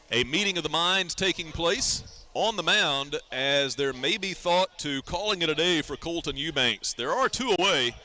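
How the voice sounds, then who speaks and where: A man calling baseball play-by-play on the radio in a live sports commentary style, with measured, deliberate phrasing. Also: a little high, bright, forceful tone.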